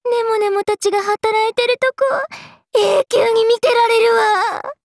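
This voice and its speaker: A woman's voice: very high-pitched, sing-song, normal speed, fairly bright tone, exaggerated voice. An actress voicing a fun-loving, trendy young party girl in an anime game, exuberant and breezy with slangy speech. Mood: smitten. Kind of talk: anime game character lines.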